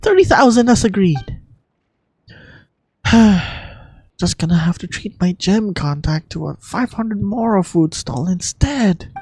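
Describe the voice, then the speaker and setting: A woman's voice: mid-range voice, expressive, a little slow, long pauses, darkish tone, husky, no room sound. A woman voicing a wheedling, greedy female merchant from a video game, whiny and exaggerated in her haggling. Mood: grudging. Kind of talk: fan voice-over of video game dialogue.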